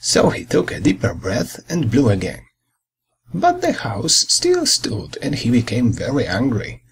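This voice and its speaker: A man reading a children's fairy tale as a storyteller, with a dramatic, expressive delivery and a husky, gravelly voice. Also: mid-range voice, a little slow, a little hiss or hum, poor audio.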